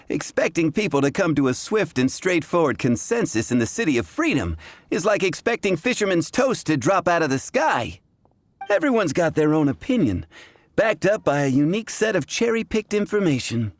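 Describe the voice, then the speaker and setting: A man's voice: fairly high pitch, very animated, normal speed, no room sound. A man voicing a wry, chatty young tavern worker in a video game, wordy and theatrical. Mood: amused.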